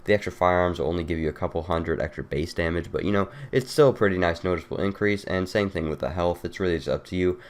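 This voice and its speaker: Low-voiced, congested young man. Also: fast, few pauses. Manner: rambling, loose, many fillers and restarts. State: congested, nasal from a cold. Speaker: gaming YouTuber. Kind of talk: casual game guide walkthrough.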